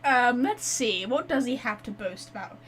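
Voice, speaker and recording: A woman giving casual, expressive gameplay commentary as a streamer, teasing and informal. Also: on the high side, moderate pace. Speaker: streamer reacting to a game story.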